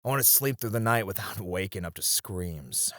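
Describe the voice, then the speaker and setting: Male actor as battle-tired warrior, tender and teasing, lowish voice, widely swinging intonation, wistful romance roleplay, close dry sound. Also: expressive, normal speed, no room sound. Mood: hopeful, weary. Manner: soft, wistful, with breathy chuckles and sighs. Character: weary young male warrior, tender, teasing sparring partner. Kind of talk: intimate ASMR romance roleplay acting.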